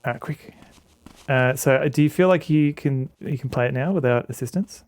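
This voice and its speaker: A man's voice: mid-range voice, normal speed, a little mellow. A man streaming a game and chatting casually with viewers, rambling with lots of restarts and fillers. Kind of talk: casual chat with viewers while playing a game.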